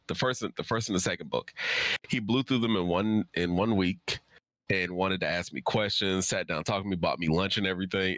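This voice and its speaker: A man's voice: low-pitched, fairly bright tone, no room sound. A man speaking as an author guesting on a live stream, chatting casually and candidly, with emphatic repetition. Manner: candid, emphatic, repeats himself. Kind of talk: casual chat.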